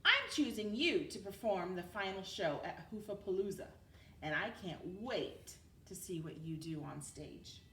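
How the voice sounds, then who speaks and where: An effusive storybook horse mayor, played by a woman, encouraging and full of exclamation, with wide swings in pitch. The room echoes around the voice. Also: lively intonation, measured pace, pushed and bright. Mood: delighted. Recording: roomy, distant mic with background noise.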